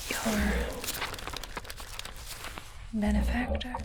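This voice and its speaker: A calm alien technician, acted by a woman, speaks in a close, breathy whisper. The delivery is slow and deliberate, with a matter-of-fact air suited to ASMR roleplay. Keyboard typing patters behind the words. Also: mid-range voice, natural voice. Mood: detached, curious. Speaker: ASMR performer. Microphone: close-up microphone. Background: keyboard typing sounds.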